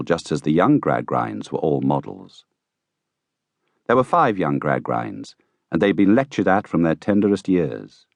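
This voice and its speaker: A man reading a classic novel as an audiobook narrator, with expressive, theatrical storytelling. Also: low-pitched, no room sound. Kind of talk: scripted literary narration.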